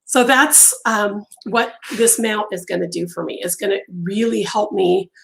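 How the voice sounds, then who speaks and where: A woman's voice: medium pitch, gravelly, poor audio. Woman losing her voice on a live stream. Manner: chatty, many ums, often pauses mid-sentence. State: losing her voice, clearing her throat and coughing. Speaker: crochet designer hosting a live stream. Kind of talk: casual live-stream chat. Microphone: phone on a mount.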